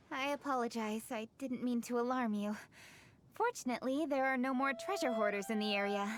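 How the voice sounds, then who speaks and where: A woman playing a composed, dutiful young female official in a video game, speaking politely in measured, formal phrases. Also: on the high side, background noise, natural voice. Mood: sheepish, apologetic. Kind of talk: video game cutscene acting. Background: music.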